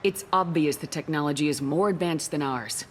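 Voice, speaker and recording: An actress playing a composed, assertive human military commander in a video game, speaking firmly and directly. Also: female voice, deep voice, moderate pace, a little hiss or hum, lightly acted. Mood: insistent. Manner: firm, direct.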